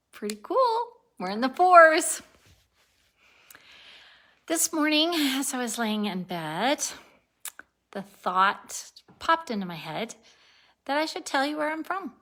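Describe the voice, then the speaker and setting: Woman, 60s, unhurried video-diary chat, bright tone, worn out, self-correcting and giggly. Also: a little high. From born in Turkey, raised abroad, lives in Colorado. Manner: rambling, self-correcting, laughs at herself. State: tired, a little spacey. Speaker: vlogger. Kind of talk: casual daily video diary.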